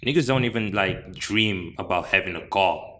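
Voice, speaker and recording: Low-voiced young South African man. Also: normal speed. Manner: relaxed, many fillers and restarts. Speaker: guest. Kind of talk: casual interview chat.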